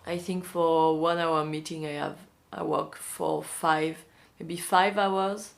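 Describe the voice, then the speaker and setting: A young woman with a French accent, talking casually and hesitantly to camera in a vlog, with many pauses and restarts. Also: sits low, fairly even intonation, creaky. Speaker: vlogger, magazine publisher.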